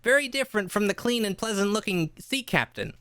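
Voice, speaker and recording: A woman playing an earnest young cabin boy who tells his adventure in a live radio play, reading a little haltingly. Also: medium pitch, animated, fairly bright tone, clear, resonant tone, no echo, clean studio sound, lightly acted. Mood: earnest, curious.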